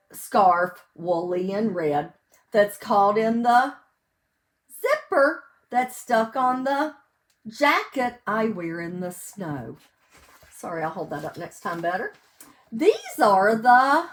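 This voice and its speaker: A woman teacher reading a picture book aloud to young children at home, in a sing-song way, explaining simply and asking them questions. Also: medium pitch. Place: indoors at home.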